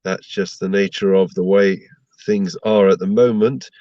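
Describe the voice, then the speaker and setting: Low-voiced guy with a blocked nose teaching a torah class online, asks lots of questions, dry dull sound. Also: steady tone, measured pace, no room sound. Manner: warm conversational teaching, rhetorical questions. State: blocked up with a cold. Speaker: rabbi giving a Torah class. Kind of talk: online Torah lesson to viewers.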